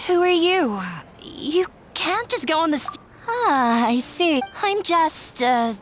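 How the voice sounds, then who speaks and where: Halting, flustered shy crafter. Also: female voice, very high voice, animated, slight background noise, full character voice. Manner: halting, hesitant. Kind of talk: English game voice acting. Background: music.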